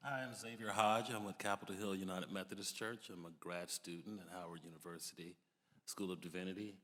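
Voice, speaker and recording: A man's voice: low-pitched, fairly even intonation, brisk, a bit bright. A young man who studies divinity, reflecting conversationally at a public discussion. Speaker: divinity student, discussion participant. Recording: echoey hall with long reverb.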